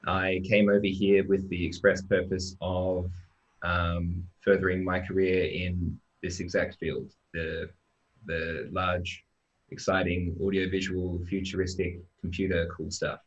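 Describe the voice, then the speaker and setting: A dull, lo-fi video call carries a very low male voice with an Australian accent. He speaks informally as a guest, presenting like a developer introducing a team. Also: level tone, unhurried. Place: remote online live stream.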